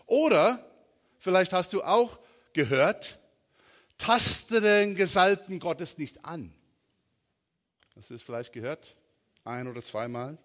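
A middle-aged American man, a native English speaker with an American accent, preaches in a large, echoing hall. His voice sits a little high and swings animatedly up and down. He speaks slowly and haltingly, in an informal way, feeling for his words. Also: animated, a bit bright. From southern and western United States. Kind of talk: sermon. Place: large reverberant room, preaching to a congregation. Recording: reverberant hall.

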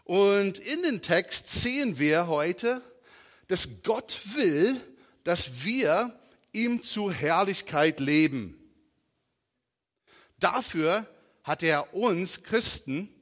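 A middle-aged man from the United States, a native English speaker with an American accent, preaching a sermon informally and haltingly, searching for words. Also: high voice, fairly bright tone. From southern and western United States. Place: large reverberant room, preaching to a congregation. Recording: reverberant hall.

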